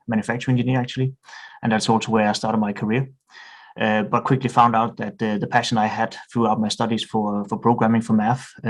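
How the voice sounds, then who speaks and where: Danish man, mid-career podcast guest, monotone, halting and considered. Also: mid-range voice. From Denmark. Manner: thoughtful, frequent hesitations and restarts. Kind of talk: podcast interview.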